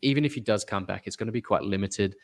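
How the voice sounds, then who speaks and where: A man co-hosting a fantasy basketball podcast, giving measured advice with fillers and repeating his main points. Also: lowish voice. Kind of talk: casual podcast analysis.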